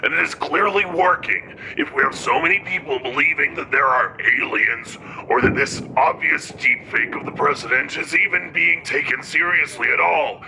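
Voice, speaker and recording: A man's voice: on the high side, moderate pace, gravelly, hardly any pauses, pushed and bright, a little hiss or hum, full character voice, rough recording. A man playing a paranoid conspiracy-theorist pundit in a radio news parody, ranting in an agitated, accusatory, emphatic way. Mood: smug, scornful.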